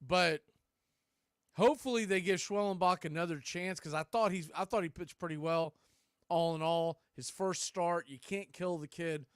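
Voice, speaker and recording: A man's voice: high voice, moderate pace, clean tone, dry recording, studio quality. A man hosting a baseball fan show, giving casual, folksy postgame commentary. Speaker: host of a baseball fan radio stream.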